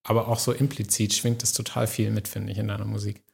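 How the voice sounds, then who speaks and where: Gravelly-voiced man hosting. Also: on the low side, flat delivery, fast. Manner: warm, hesitant questioning with fillers. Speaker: podcast host. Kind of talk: casual interview questions.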